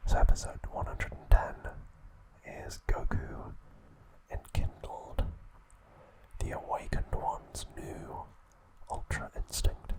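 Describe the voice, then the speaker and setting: Man, ASMR host, hushed whisper, slow deliberate delivery, soft trivia narration. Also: rough recording.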